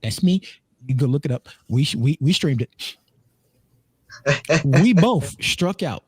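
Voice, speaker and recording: A man's voice: on the high side, expressive, a little slow, darkish tone, slightly rough, no room sound. An American man in his thirties chatting casually as a co-host, joking, repeating himself and swearing freely. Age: 30s. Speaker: podcast co-host and streamer. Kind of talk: casual group chat.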